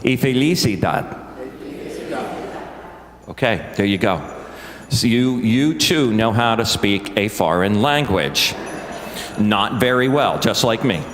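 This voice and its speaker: A man leading a live church concert, talking to the audience in a joking, self-deprecating way, halting with many fillers. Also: on the low side, lively intonation, fairly bright tone, slight background noise. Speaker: choir director leading a singalong. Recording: roomy live recording with long church reverb.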